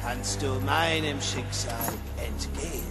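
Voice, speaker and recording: A man's voice: medium pitch, slow pace. An actor playing the solemn ghost of a dead businessman in a dubbed cartoon, speaking in a portentous manner. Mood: solemn, warning. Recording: loud film music behind.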